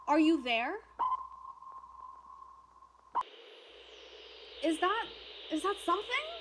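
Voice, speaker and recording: A woman's voice: high voice, noisy background. A woman playing a domineering, impatient leader of a paranormal investigation in a radio drama, with a commanding, irritable delivery. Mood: excited. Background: music.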